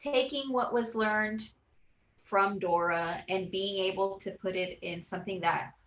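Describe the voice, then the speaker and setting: A woman's voice: medium pitch, measured pace, a bit bright, slight background noise. A woman in her thirties from Puerto Rico, an animation creator answering interview questions earnestly, with many fillers and restarts. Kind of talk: casual interview. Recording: roomy echo.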